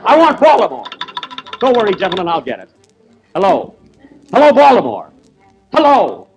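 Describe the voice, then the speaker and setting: A man as a pompous inventor with a lively voice. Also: high-pitched, normal speed, gravelly, faint music behind, exaggerated voice. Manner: indignant, theatrical, exasperated. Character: fussy, pompous male inventor professor. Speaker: comedian host. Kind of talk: 1930s radio comedy acting.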